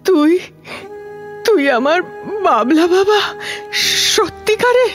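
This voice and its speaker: A woman's voice: on the high side, sing-song, slow. An older woman playing a frail, lonely former housemaid in a Bengali audio drama, rambling in homely Kolkata Bengali with a plaintive manner. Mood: overwhelmed, tearful. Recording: music behind the voice.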